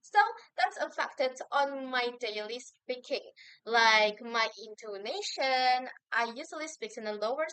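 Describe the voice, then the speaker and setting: A young woman, a university student explaining answers in a measured way with occasional hesitant restarts. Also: on the high side, a bit bright, clear, resonant tone, no room sound, rough recording. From Indonesia. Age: young adult. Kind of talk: answering assignment questions on video.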